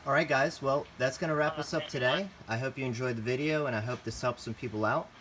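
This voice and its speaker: A man's voice: mid-range voice, fast, crowd noise, low-quality recording. A man hosting a step-by-step product tutorial, talking in a casual, plain instructional way. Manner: casual, plain instructional, addresses viewers as guys. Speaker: host, tutorial presenter.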